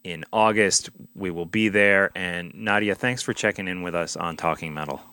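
A man's voice: low voice, normal speed, bright, forceful tone, smooth, dry recording. A man hosting a metal podcast, asking an interviewee questions in a friendly, upbeat fan manner.

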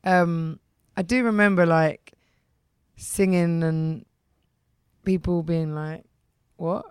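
Husky young woman, raspy, chatty. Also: lowish voice, fairly even intonation, no echo, clean studio sound. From England. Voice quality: husky, rough. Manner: chatty, repeats "like", quick restarts. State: hoarse from heavy use. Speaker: singer, guest. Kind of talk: casual interview chat.

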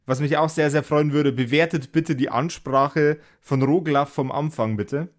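A man's voice: on the high side, measured pace. A man co-hosting a podcast with casual banter, addressing the listeners. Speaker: podcast co-host.

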